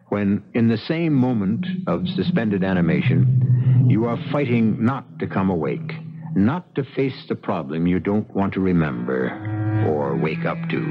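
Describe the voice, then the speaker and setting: A man narrates as host of an old radio mystery show, theatrical and deliberate. His voice is deep with wide swings in pitch, over music on a dull broadcast recording. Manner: portentous, deliberate.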